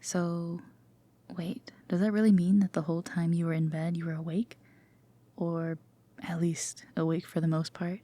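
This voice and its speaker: A woman playing a gentle, teasing, affectionate girlfriend in an intimate sleep-aid roleplay, with a soft, breathy half-whisper and a tender manner. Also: lowish voice, flat delivery, moderate pace, natural voice. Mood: caring, hesitant. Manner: hesitant, tender, gently playful.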